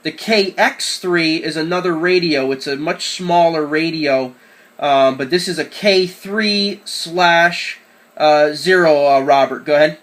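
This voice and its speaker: A man's voice: a little high, a little slow, fairly bright tone. A man chatting casually and enthusiastically as an amateur radio operator during a radio contact.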